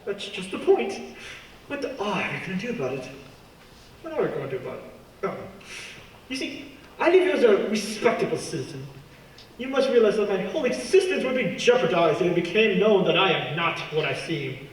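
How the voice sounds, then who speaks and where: A man acts a villainous professor who passes as a respectable gentleman. His high voice swings widely with courteous, theatrical menace. It is a radio play heard in a very echoey space. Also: big pitch swings, fairly quick. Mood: silkily menacing. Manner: genteel, theatrical, mock-regretful. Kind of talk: stage-like radio play acting. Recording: distant, very echoey, noisy room.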